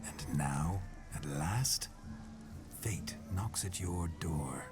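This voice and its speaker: An actor playing a gruff, flirtatious man possessed by a noble ghost, with a deep voice that drops near a whisper. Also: expressive, full character voice. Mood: flirting. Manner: slow, wry, seductive drawl. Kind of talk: video game cutscene voice acting. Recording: game soundtrack music behind.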